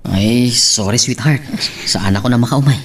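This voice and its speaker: Man acting an Ilocano businessman husband, mid-pitched. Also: moderate pace, lightly acted. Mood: apologetic, firm. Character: businessman husband, apologetic but stubborn about work. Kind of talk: Ilocano radio-style serial drama acting.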